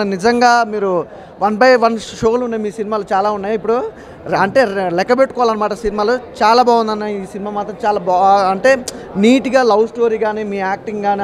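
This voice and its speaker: Male moviegoer reviewer, Telugu accent, extremely high voice, rapid gushing praise. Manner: effusive, gushing. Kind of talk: enthusiastic spoken film review.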